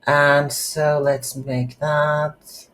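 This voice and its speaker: A woman hosting a casual game development tutorial, speaking haltingly as she thinks aloud while working. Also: low-pitched, level tone, a bit bright. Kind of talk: casual screen-recorded software tutorial.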